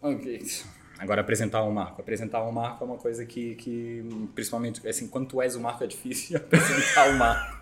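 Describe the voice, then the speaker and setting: A man's voice: medium pitch, level tone, noisy background. A man in his forties with a Portuguese accent tinged with Brazilian, talking casually as an interview guest and often restarting his sentences. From Terceira, Azores. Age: 40s. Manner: halting, many restarts and fillers. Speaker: podcast guest.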